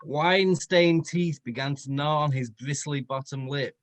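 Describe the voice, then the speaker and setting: A man's voice: fairly high pitch, unhurried, dry recording. A man narrating a story aloud for comedy in a playfully dramatic way. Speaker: comedian reading fan fiction aloud.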